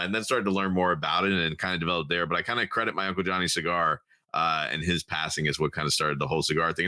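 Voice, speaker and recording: A middle-aged man, a podcast guest, telling stories in a quick, chatty way with many fillers. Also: deep voice, sharp tone, no room sound. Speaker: podcast guest, cigar brand founder. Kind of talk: casual chat.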